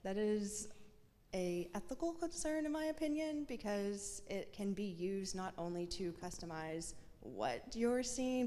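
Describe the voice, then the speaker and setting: A woman's voice: mid-range voice, darkish tone. A woman speaking as a panelist at a university discussion in an earnest, considered way, with occasional fillers. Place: university event hall. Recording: long hall reverb, faint background noise.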